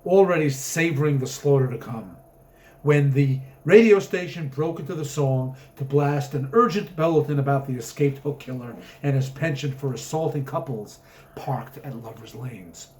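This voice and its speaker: A man's voice: medium pitch, moderate pace, a little mellow. A man reading a story aloud as its author, narrating with theatrical, emphatic comic drama.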